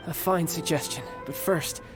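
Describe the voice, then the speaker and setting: A man's voice: fairly high pitch, moderate pace, music in the background, close to an everyday voice. A man playing a cocky but affectionate young warrior and big brother in a video game, with playful bravado. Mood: fond, teasing. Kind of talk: video game character acting.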